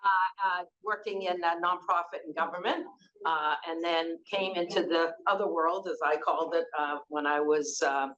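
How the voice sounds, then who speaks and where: A woman's voice: lowish voice, fairly even intonation, moderate pace, fairly bright tone, muffled recording. A woman in her sixties with a Boston accent, moderating a conference panel in a loose, conversational way with frequent fillers and restarts. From Boston, United States.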